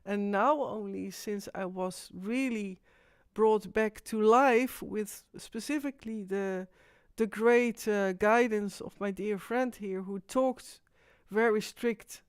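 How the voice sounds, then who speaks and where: A Dutch-accented woman talks to her live stream viewers in a loose, rambling way, stopping and restarting her sentences often. Her voice sits at an ordinary middle pitch, and the recording sounds dry and close, with little room around it. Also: clear, resonant tone, no echo. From Netherlands. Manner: rambling, halting, with frequent restarts. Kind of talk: casual live stream monologue.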